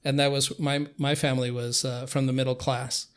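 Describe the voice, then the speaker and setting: An older man who grew up in Cuba with Spanish as his first language. He talks as a guest in a conversational, reminiscing way, with a flat, mid-pitched delivery. His phrasing is halting, with many searching pauses. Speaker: interview guest.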